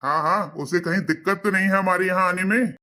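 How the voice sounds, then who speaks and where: A man voicing an elderly, pious, accommodating father in a cartoon, soft-spoken and reasoning. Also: high voice, brisk. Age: older. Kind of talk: cartoon voice acting.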